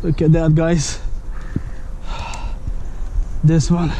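A man with a fairly high yet mellow voice talks slowly to his viewers in non-native English, breaking into excited exclamations. He is outdoors by a canal, picked up by a head-mounted camera mic. Faint outdoor ambience sits behind him. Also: slight background noise. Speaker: angler filming his fishing trip. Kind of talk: casual outdoor fishing vlog. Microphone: head-mounted action camera.

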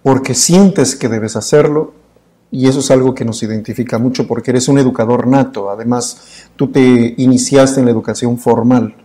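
A man's voice: mid-range voice, fairly even intonation, normal speed, warm tone. A middle-aged Mexican man hosting a studio interview, asking questions in long, stacked clauses. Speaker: interview host. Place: dark indoor studio.

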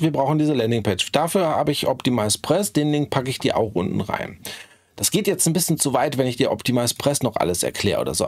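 A hoarse man in his forties giving a chatty screen-share tutorial from a small home studio, tagging sentences with "ne?". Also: mid-range voice, darkish tone, no room sound. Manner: chatty, frequent "ähm" and "ne?" tags. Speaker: online marketing YouTuber.

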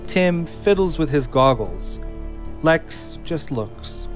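A man's voice: fairly high pitch, animated, takes it slowly, faint music behind. A man narrating a scripted audio drama, reading screenplay action.

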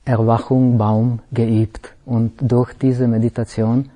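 A man teaching Buddhist meditation in German, which is not his first language, pausing often and correcting himself as he searches for words. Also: mid-range voice, mellow. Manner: halting, searching for words, many pauses and self-corrections. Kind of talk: slow explanatory dharma talk.

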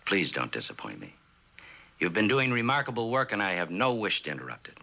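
A man's voice: fairly low pitch, big pitch swings, a little fast, gravelly, bright, forceful tone, full character voice. An actor playing a blunt, courteous but demanding male official in a mid-century television drama, with formal, firm authority. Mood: stern, measured. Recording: old TV soundtrack with background noise.

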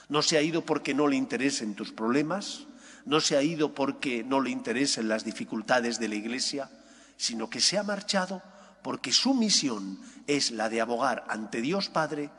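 Spanish priest preaching in a reverberant church. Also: male voice, mid-range voice, a bit bright, husky. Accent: Spain. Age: middle-aged. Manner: emphatic, didactic, phrases split by deliberate pauses. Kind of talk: sermon, homily. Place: large indoor church. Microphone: gooseneck microphone at lectern.

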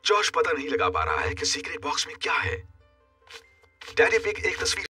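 A cheerful children's cartoon storyteller, with a highish voice that swoops up and down with great animation. The narration is scripted and friendly for little listeners, with clear music behind it. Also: male voice, very animated, a little fast, bright, forceful tone, distant mic, full character voice. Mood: bright storytelling.

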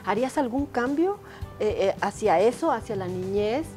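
A woman's voice: mid-range voice, animated, moderate pace, darkish tone, soft background music. A woman hosting a TV studio interview on a lapel mic, chatty and direct with her questions. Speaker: talk show host. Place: TV studio set.

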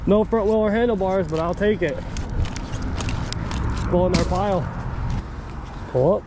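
A man's voice: sits high, a little slow, background noise. A man hosting a casual magnet fishing vlog, narrating his finds by a creek with an action camera. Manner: upbeat running commentary on finds. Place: outdoors by a creek under a bridge.

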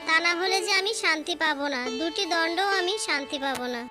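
An earnest fairy girl from a cartoon, played by a woman, pleads in a very high, childlike voice. She is plaintive and insistent over prominent background music. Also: fast talker. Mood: insistent, troubled. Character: earnest, insistent young adopted fairy daughter. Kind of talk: cartoon voice acting. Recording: loud music under the voice.

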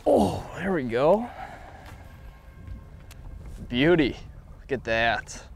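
A young man co-hosting a fishing show, making short, quiet remarks as he lands fish from a boat on a lake. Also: a little high, big pitch swings, drawn-out words, gravelly, slight background noise. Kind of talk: casual on-the-water commentary. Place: small boat on an open lake outdoors.

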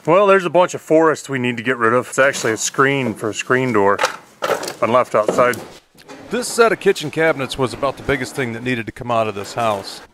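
A man's voice: medium pitch, expressive, brisk, background noise. A middle-aged man narrating a casual vlog in an easygoing, wry, matter-of-fact way, speaking outdoors in the woods. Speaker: vlogger. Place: outdoors in a pine forest.

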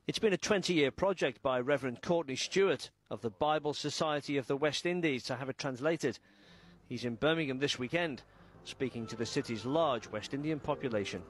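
British male news presenter, mid-pitched. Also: a little fast, slightly rough. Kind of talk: scripted news narration. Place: TV studio.

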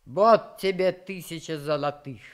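A woman's voice: deep voice, unhurried. An actress playing a gracious, imperious queen in a children's radio play, with a regal, theatrical manner. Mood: gracious, commanding. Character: gracious, imperious giant queen, easily delighted.

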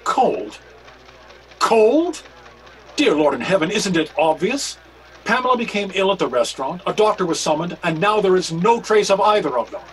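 A man's voice: high voice, big pitch swings, moderate pace, slight background noise. A man in his sixties playing a grave, urgent reverend detective in a radio mystery. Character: grave, urgent reverend sleuth, worried husband. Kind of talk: radio mystery play acting.

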